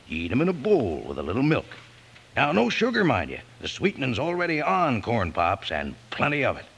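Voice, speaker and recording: A man's voice: medium pitch, vocal fry, fairly bright tone, husky. A man delivering a hearty, folksy cowboy-style 1950s radio advertisement aimed at children. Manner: folksy, hearty cowboy talk addressed to kids. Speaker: commercial announcer.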